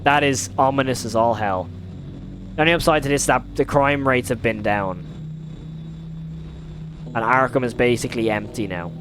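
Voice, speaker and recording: A man's voice: mid-range voice, normal speed, long pauses, background noise. A man hosting a reaction video, giving casual, rambling, informal commentary with some mild swearing.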